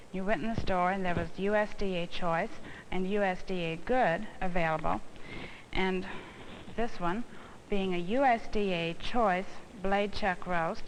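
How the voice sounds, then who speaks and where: An American woman giving an instructional demonstration, explaining things in a deliberate, didactic way. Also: medium pitch. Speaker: instructional film presenter, home economist. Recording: noisy old instructional film soundtrack.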